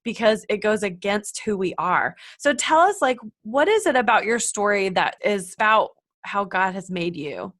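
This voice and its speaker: A woman's voice: mid-range voice, lively intonation, normal speed, few pauses, fairly bright tone. A woman hosting a kids' podcast, asking questions and affirming her guest in an encouraging way. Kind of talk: friendly interview questions.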